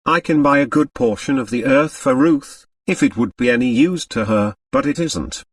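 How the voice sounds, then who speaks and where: A man's voice: mid-range voice, moderate pace, dry recording, studio quality, distant mic, lightly acted. A man voicing a hard, proud American millionaire who dotes on his daughter, in an audiobook. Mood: wistful.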